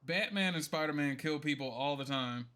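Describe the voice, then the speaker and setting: A man's voice: a little high, moderate pace, pushed and bright. A man giving casual live stream commentary on a game, joking and swearing as he rambles through his opinions.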